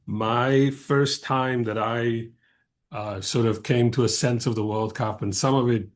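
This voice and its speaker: A man's voice: medium pitch, steady tone, unhurried. A man in his fifties, originally from South Africa, giving a thoughtful, digressive talk as a guest speaker on an academic panel. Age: 50s.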